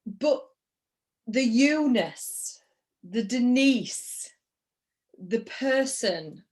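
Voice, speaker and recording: A woman's voice: mid-range voice, darkish tone. A woman from Yorkshire with a Yorkshire accent, hosting a podcast interview in an effusive, casual way and repeating words for emphasis. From England, Yorkshire. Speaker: podcast host.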